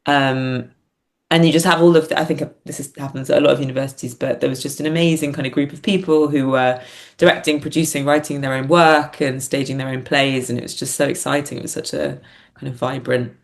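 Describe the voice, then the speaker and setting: A woman speaks as a podcast guest with a low voice and plenty of vocal fry. She is thoughtful and a little hesitant, reflecting in a self-effacing, conversational way. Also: fairly even intonation, a little fast. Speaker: podcast guest, playwright and performer.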